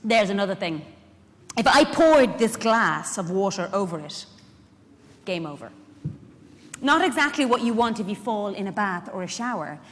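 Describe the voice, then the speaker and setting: A woman's voice: medium pitch, big pitch swings, normal speed, long pauses, lightly acted. An Irish woman actor playing a chatty, frank, wry carer in a theatrical monologue, putting pointed questions to her listeners. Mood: pointed, explaining. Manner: conversational, pointed rhetorical questions. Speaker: actor in a dramatic reading. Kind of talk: theatrical monologue addressed to a live audience. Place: large indoor hall at a public event. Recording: echoey hall, live stage reading.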